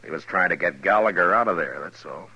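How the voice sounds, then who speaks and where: Deep, gruff frontier marshal, husky. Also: male voice, fast talker, sharp tone, heavily acted. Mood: indignant, pleading. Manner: blunt, plain-spoken. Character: gruff, fair-minded frontier town marshal. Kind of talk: 1950s Western radio drama acting. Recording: dull old radio recording.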